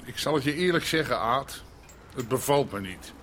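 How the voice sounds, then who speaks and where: Man acting old-school Amsterdam gangster boss, forceful bright voice, halting blunt speech, stubborn, radio drama, street noise behind. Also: mid-range voice, animated, natural voice. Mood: wary, troubled. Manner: blunt, halting, repeating words. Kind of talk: naturalistic radio drama dialogue.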